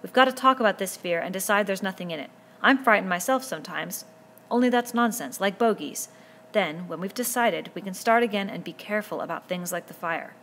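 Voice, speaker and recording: A woman's voice: mid-range voice, a little fast, fairly bright tone, a little hiss or hum, natural voice. A woman reading a novel aloud, voicing an earnest, commanding boy chief who pleads and insists as he lays down rules. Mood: urgent.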